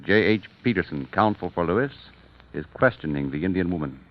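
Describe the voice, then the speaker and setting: A man narrating a scripted story for old-time radio in a formal, deliberate manner. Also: sits low, lively intonation. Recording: dull old radio recording, music.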